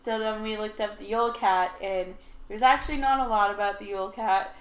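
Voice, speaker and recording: A woman's voice: mid-range voice, steady tone, fairly quick, reverberant, low-quality recording. A woman co-hosting a podcast, chatting casually and hedging her thoughts with "like" and "I just feel". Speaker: podcast co-host. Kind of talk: casual chat.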